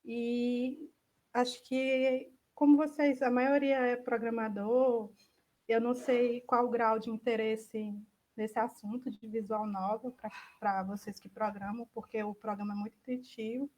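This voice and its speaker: A woman's voice: fairly high pitch, fairly even intonation, unhurried, darkish tone, low-quality recording. A woman with a Brazilian accent giving an informal online talk in a tentative, self-correcting way. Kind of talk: informal presentation. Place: indoors on an online video call.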